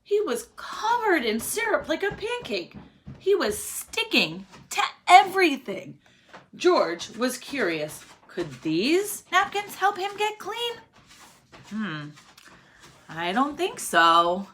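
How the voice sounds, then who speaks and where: A woman's voice: sits high, huge pitch swings, slow pace, fairly bright tone, a little hiss or hum. A woman reading a story aloud to young children, expressively, often prompting them with questions and counting along. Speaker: teacher reading a picture book aloud to children.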